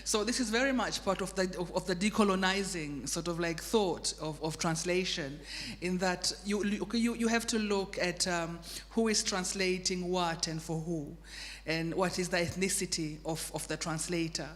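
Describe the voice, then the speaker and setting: A woman's voice: lowish voice, moderate pace. A woman from Zanzibar whose first language is Swahili, speaking English as a translator in a panel discussion, thoughtful and laughing easily, with many restarts. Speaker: literary translator. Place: festival stage in a reverberant hall. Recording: long hall reverb.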